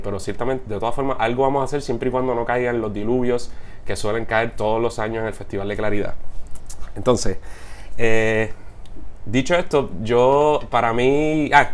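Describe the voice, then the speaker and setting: A Puerto Rican man in his thirties hosting a casual interview, rambling with fillers and restarts. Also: mid-range voice. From Puerto Rico. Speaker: podcast host. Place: indoors on a sofa in Barcelona.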